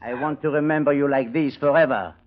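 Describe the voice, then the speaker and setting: A man's voice: on the high side, a little fast, lightly acted. Man playing a menacing French gangster, tinny old radio. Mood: cold menace. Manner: theatrical, formal, threatening. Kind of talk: 1940s radio detective drama acting. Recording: tinny, band-limited old radio recording.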